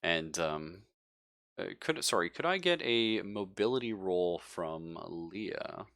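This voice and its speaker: A man's voice: deep voice, expressive, slow, a bit bright. A man narrating a tabletop roleplaying game as game master, casual and joking as he improvises.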